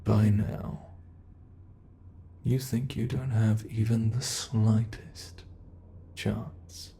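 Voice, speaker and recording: A British man voicing a teasing, predatory male monster who holds the listener captive, speaking slowly and mockingly in an ASMR horror roleplay. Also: fairly low pitch, level tone, creaky, warm tone, slight background noise, full character voice. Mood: taunting. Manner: slow, taunting, toying with the listener.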